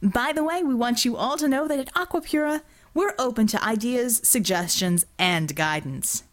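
A woman voicing a smooth-talking male boss who orates slowly like a politician, in an audiobook. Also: on the high side, voice pushed up, close to an everyday voice. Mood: grandiose, measured. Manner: slow, politician-like oratory.

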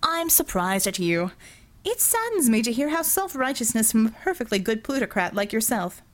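Audiobook villain, male, played by a woman: flippant, sardonic, smugly cruel, very animated intonation. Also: on the high side, moderate pace. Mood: smug taunting. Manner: sardonic, breezy, mock-genteel.

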